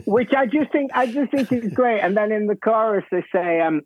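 A man's voice: high-pitched, normal speed, dry recording. A man, an author guest on a music podcast, talking enthusiastically about a band and often repeating words as he goes. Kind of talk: casual music chat. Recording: tinny phone-like remote line.

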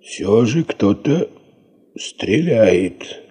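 Slow, husky old grandfather. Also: sing-song, full character voice. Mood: worried. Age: older. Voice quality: husky, soft, low. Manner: halting short phrases. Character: kindly, anxious old grandfather living in the tundra. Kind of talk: Russian radio play acting.

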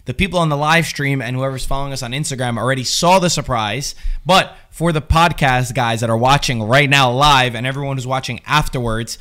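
A man's voice: medium pitch, brisk, few pauses, sharp tone. Man hosting a casual sports podcast. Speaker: podcast host. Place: podcast studio.

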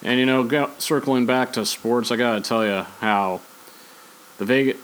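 A man's voice: mid-range voice, moderate pace, fairly bright tone, clear, resonant tone. Opinionated man chatting casually. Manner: rambling, opinionated, conversational. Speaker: podcast host. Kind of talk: casual opinionated podcast talk on sports and movies.

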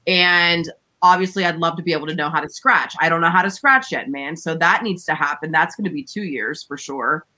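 A laid-back woman in her thirties chats casually as a podcast co-host, her voice bright and forward. She talks in easygoing slang and laughs often. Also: a little fast. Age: 30s. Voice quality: says her voice is deep. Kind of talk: casual chat.

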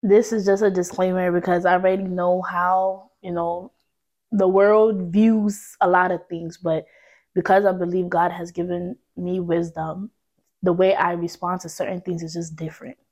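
A woman's voice: fairly low pitch, little pitch movement, normal speed, a little mellow. A young woman in a casual podcast discussion, talking in slang and arguing her point assertively. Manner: slangy, assertive, devil's advocate.

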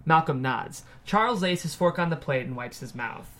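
A man's voice: on the high side, lively intonation, normal speed, a bit bright. A man reading the stage directions as narrator of a screenplay table read.

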